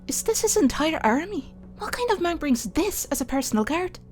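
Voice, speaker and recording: A man voicing an excitable, curious, kind-hearted young village woman in a fantasy audiobook. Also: very high voice, moderate pace, faint music behind, voice pushed up. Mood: awed curiosity. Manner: wide-eyed, questioning.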